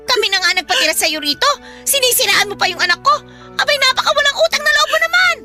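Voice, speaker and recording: A woman's voice: extremely high pitch, lively intonation, fast, music in the background. An actress playing a stern, scornful middle-aged aunt who fiercely defends her son in a radio drama. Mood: threatening, scornful. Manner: scornful, accusing, dismissive. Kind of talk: radio drama dialogue in Tagalog.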